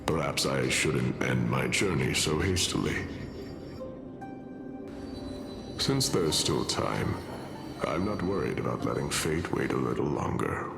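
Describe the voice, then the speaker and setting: A very deep, slow-spoken warrior hero in a fantasy game. He is solemn and stately, with gravel in the voice, resolved to meet his fate. The voice rings in a roomy space under loud music. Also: animated, heavily acted. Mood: calm, gently amused. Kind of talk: dramatic video game voice acting.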